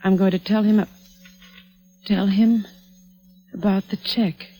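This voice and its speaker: An actress playing an anxious, determined wife in a 1940s radio drama, theatrical and earnest. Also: female voice, mid-range voice, steady tone, measured pace, dark tone, faint music behind, lightly acted. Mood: uneasy, questioning. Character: anxious, determined wife caught in intrigue, woman. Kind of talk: 1940s radio acting with dramatic first-person narration.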